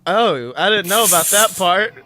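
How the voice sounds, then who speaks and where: A man's voice: high-pitched, expressive, moderate pace, sharp tone. A man hosting a podcast in casual, joking banter, swearing now and then. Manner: joking, uses profanity. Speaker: podcast host. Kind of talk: casual banter about a video game.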